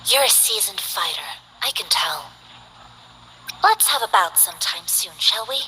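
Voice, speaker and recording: A woman's voice: mid-range voice, expressive, measured pace, slight background noise, low-quality recording. An actress playing a composed, earnest young female Taoist martial artist in a game. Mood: eager. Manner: composed, earnest, gently formal.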